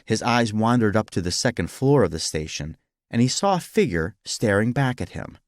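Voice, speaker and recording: Male narrator for fiction audiobook: fairly deep, expressive and dramatic, recorded dry. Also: moderate pace, dry recording. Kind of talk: scripted story narration.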